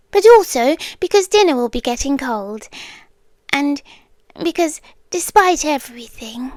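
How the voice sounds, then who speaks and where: A British woman playing a possessive, softly menacing yandere queen in an ASMR roleplay, speaking in a soft, breathy voice that often drops to a whisper, close to the mic. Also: a little high, dry recording. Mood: teasing, tender. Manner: slow, deliberate, intimate. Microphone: close, dry microphone.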